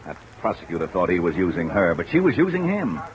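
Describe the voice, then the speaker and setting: An actor playing a bitter, cynical fugitive in an old radio drama, speaking deliberately. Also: male voice, sits low, sing-song, dull audio, a crowd in the background. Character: cynical, bitter male fugitive accusing a woman. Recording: noisy vintage radio recording with rain.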